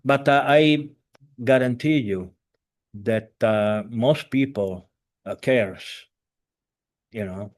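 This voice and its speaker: Earnest halting man in his seventies. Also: mid-range voice. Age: 70s. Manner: earnest, halting, with many fillers, restarts and non-native grammar. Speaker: spiritual teacher. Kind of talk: informal talk by video call. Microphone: video call.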